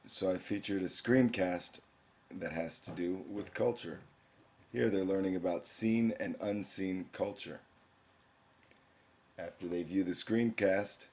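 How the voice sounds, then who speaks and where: Male teacher, young adult, very low voice; plain explanatory screencast narration. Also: darkish tone. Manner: plain explanatory, reading quiz questions aloud.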